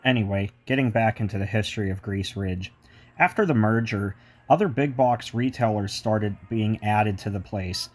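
A man's voice: fairly low pitch, moderate pace. A man giving an enthusiastic walking tour of a mall, mixing its history with chatty asides. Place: indoor shopping mall.

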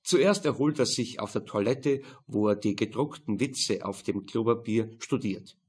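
A man's voice: fairly low pitch, a little slow, a little mellow, husky. A man narrating a crime novel audiobook in a dry, matter-of-fact manner.

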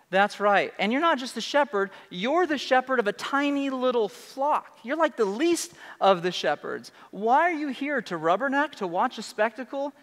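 A man's voice: extremely high pitch, fairly bright tone, voice pushed up, heavily acted. A preacher voicing a scornful older brother who mocks his young sibling, in a sneering tone. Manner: mocking, sneering. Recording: echoey hall.

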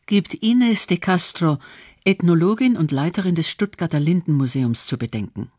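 A man's voice: on the high side, animated, normal speed, darkish tone, no echo, studio quality. A man narrating a documentary from a script as its narrator.

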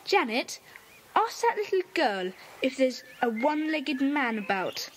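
An actor playing a brisk, commanding boy who leads a children's club in an audio drama, on a hissy tape. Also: sits high, very animated, fairly bright tone, heavily acted. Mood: eager. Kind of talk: radio play acting.

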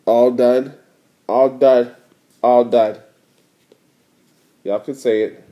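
A man's voice: medium pitch, steady tone, drawn-out words, highs cut off. A father reading a picture book to his young child, explaining it casually and prompting with questions. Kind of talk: storybook read-aloud with casual explanations.

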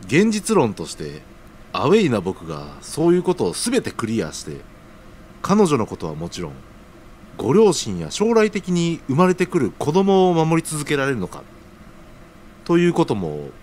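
A man's voice: on the high side, huge pitch swings, moderate pace, darkish tone, a little hiss or hum. A man acting an earnest, humble young junior worker in an audio drama, speaking casually but deferentially. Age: young adult.

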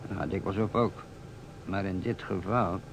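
A man's voice: low voice, fairly even intonation, normal speed, vocal fry. A man acting a persistent, businesslike police inspector in a Dutch radio play, asking probing questions. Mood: impatient. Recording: old radio recording, faint noise.

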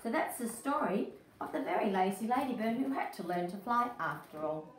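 A middle-aged woman, an educator, reading a picture book aloud to young children in an expressive, emphatic way. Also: medium pitch, a little fast. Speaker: early childhood educator, story-time host. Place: small indoor room. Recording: echoey room, distant mic, faint noise.

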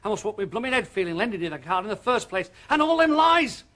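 An actor playing a fussy but soft-hearted middle-aged shopkeeper, blustering one moment and tender the next. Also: male voice, high voice, very fast. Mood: indignant. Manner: bustling, blustering then tender. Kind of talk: TV soap drama acting.

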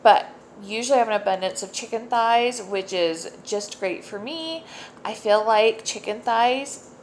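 Woman home cook, thirties, casual upbeat tutorial narration with asides; slight background noise. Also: mid-range voice. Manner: chatty, upbeat, lots of asides and fillers. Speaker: home cooking vlogger. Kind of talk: casual cooking tutorial voice-over. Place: home kitchen.

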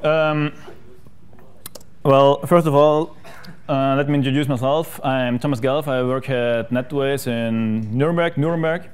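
This is a man. He speaks from a conference room stage in a smooth, clear, mid-pitched voice. His delivery is casual and self-mocking, with frequent fillers and restarts. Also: steady tone, measured pace. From South Tyrol, Italy. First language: German. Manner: chatty, self-deprecating, many fillers and restarts. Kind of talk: technical conference talk.

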